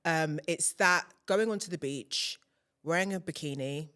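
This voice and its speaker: A woman's voice: low voice, unhurried, a bit bright. Chatty candid woman in her thirties. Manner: candid, chatty, full of filler "like". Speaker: podcast guest, broadcaster. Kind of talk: casual chat.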